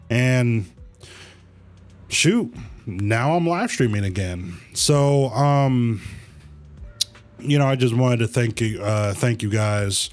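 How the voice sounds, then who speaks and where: A man chatting casually to viewers as a livestreamer, halting and rambling, with easygoing slang. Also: medium pitch, darkish tone. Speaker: live streamer, host of own channel. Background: music.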